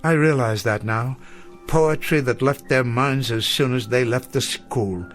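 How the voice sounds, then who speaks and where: Guy acting as gentle old teacher, formal and bookish, sad and full of self-doubt, radio play with music. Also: mid-range voice, measured pace, close to an everyday voice. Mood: despairing. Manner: formal, eloquent, melancholy. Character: weary, self-doubting elderly male schoolteacher, gentle and bookish.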